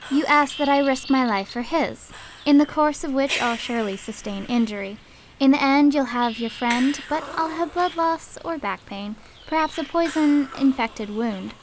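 An actress playing a bold, shrewd young warrior woman in a radio play, confident and brisk in manner. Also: high voice, a little hiss or hum, low-quality recording. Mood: shrewd, cool. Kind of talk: theatrical radio drama acting.

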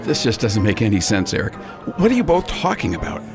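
Doting fiancé acted by a man, bewildered, hugely animated pitch, radio play, clear music backing. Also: brisk. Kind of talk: radio drama acting.

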